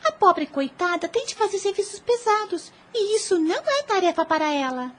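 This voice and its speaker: An actress playing an earnest, caring little girl in a Brazilian audio drama, with a childlike, animated delivery. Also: very high voice, normal speed. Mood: concerned.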